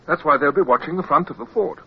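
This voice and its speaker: An actor playing an earnest young British naval lieutenant in a radio drama, respectful and formal, persistent though a little hesitant. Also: male voice, a little high, quick pace, vocal fry. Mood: earnest persuasion. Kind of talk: radio drama acting with first-person narration. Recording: muffled, noisy old radio recording.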